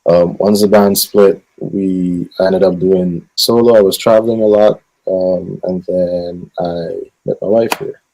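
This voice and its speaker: A man's voice: low-pitched, steady tone, a little slow, gravelly, few pauses, dark tone, rough recording. A man with a Guyanese accent, a gospel singer in his thirties, talking about his life in an interview with lots of fillers. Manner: rambling with many fillers. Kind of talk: casual interview answers.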